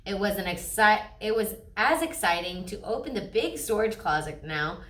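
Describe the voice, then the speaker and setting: A woman's voice: mid-range voice, lively intonation, normal speed, fairly bright tone, distant mic. A woman storyteller reading a children's picture book aloud expressively. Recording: echoey room.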